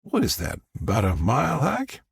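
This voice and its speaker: A man voicing a confident, friendly businesswoman with a smooth Southern US accent in an audiobook. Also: medium pitch, huge pitch swings, normal speed, no echo, studio quality, pitched above the performer's own voice, full character voice. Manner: smooth, polite, forthright.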